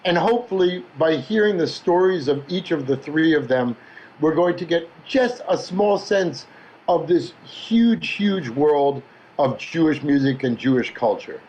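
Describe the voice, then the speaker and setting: Higher-voiced man hosting a panel. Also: lively intonation, unhurried, a little mellow, poor audio. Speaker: discussion host. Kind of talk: moderating an online panel.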